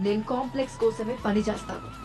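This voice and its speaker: An actress playing a proud, defiant ship's AI in an animated series, with a sassy, argumentative manner. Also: female voice, on the high side, fast talker, loud music behind the voice. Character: proud, defiant female AI of a crashed cargo ship.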